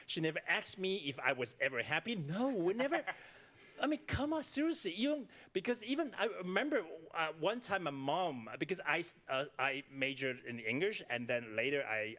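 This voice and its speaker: A middle-aged man with a Taiwanese accent, speaking as an author at a library talk, animated and full of fillers and restarts. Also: a little high. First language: Taiwanese Mandarin. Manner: animated, many fillers and restarts, laughs easily. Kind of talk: casual author talk on stage. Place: library event room.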